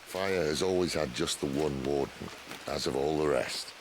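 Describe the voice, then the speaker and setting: An actor playing a wry, world-weary male rebel leader in a video game, speaking in a dry, teasing, unhurried way. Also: rumbling low, a little hiss or hum, poor audio.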